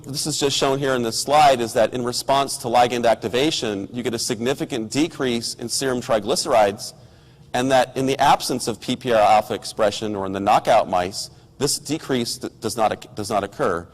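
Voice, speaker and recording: A hoarse middle-aged man delivers a technical science lecture in a measured way, pausing on ums and restarting phrases. His voice sits at an ordinary pitch for a man. The sound carries the long reverb of a lecture hall. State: hoarse from a cold.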